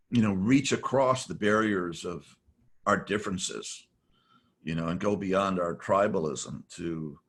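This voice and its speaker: A man's voice: on the low side, fairly bright tone, husky. A middle-aged man, a podcast guest, talking reflectively and rambling, with many restarts and "you know"s. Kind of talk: reflective conversational talk.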